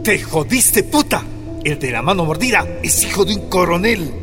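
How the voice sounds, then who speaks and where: A jeering, crude soldier. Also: male voice, high voice, expressive, moderate pace. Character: crude mocking soldier, man. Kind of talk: radio drama acting. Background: dramatic music.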